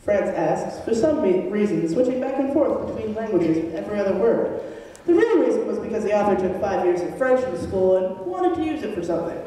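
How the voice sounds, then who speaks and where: Female narrator, soft dark tone, amateur comedy reading, distant reverberant recording with faint hiss. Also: mid-range voice, very animated, a little fast. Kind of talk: amateur dramatic reading of comedy fan fiction. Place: large echoey room, school club event. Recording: echoey hall from far off, hissy.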